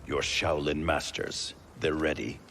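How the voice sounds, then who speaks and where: Gravelly, very deep suspicious warrior. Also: male voice, little pitch movement, unhurried, a bit bright, slight background noise, exaggerated voice. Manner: clipped, accusing. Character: stern, suspicious male warrior guarding his stronghold. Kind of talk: video game cutscene acting.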